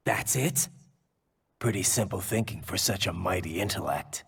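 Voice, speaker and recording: A man voicing a gruff, jaded cyborg warrior in a video game, raspy and terse with dry sarcasm. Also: low voice, normal speed, a bit bright, in a large hall, heavily acted. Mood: sardonic. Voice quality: gravelly, raspy. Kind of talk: dramatic video game voice acting.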